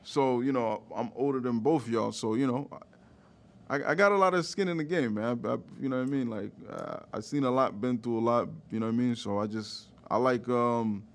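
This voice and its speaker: A man's voice: mid-range voice, brisk. A man in his thirties hosting a podcast in a casual, slangy way, often checking in with "you know" phrases. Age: 30s. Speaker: podcast host.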